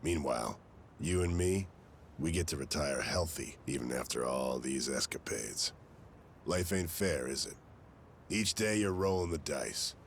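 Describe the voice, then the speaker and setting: An actor playing a stoic, world-weary old gangster veteran in a video game, speaking in a terse, dry, understated way. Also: male voice, a bass voice, animated, unhurried, slight background noise, full character voice. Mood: somber. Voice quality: gravelly. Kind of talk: video game cutscene acting.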